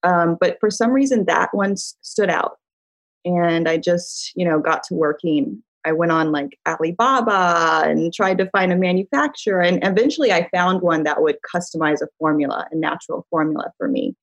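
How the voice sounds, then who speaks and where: A woman's voice: on the low side, normal speed, dry recording, low-quality recording. A middle-aged woman from the US Midwest, chatting casually as an interview guest, telling stories with lots of laughs and fillers. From Chicago, US Midwest. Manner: chatty storyteller, laughing often, filler-heavy. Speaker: beauty brand founder, guest.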